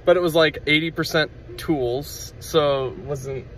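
A man's voice: on the high side, a little slow, a little hiss or hum, poor audio. A young man from Kansas chatting casually into a phone held at arm's length, rambling with lots of fillers and restarts. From Kansas, USA. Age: young adult. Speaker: vlogger. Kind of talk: casual vlog chat. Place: street outdoors, then inside a parked car.

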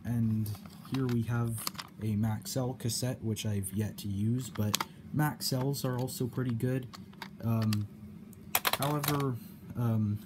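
A man giving a casual explanation in a hobby vlog, halting and often repeating his phrases. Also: mid-range voice. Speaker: cassette hobbyist demonstrating a problem. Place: indoor room at home. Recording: clear background noise.

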